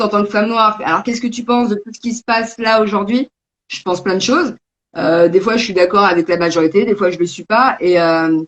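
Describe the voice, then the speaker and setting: A woman's voice: mid-range voice, muffled recording. A woman born in Belgium and raised in France, a game creator chatting casually and thoughtfully, with frequent hesitations, over a video call. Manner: thoughtful, talkative, many hesitations. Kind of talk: casual conversation.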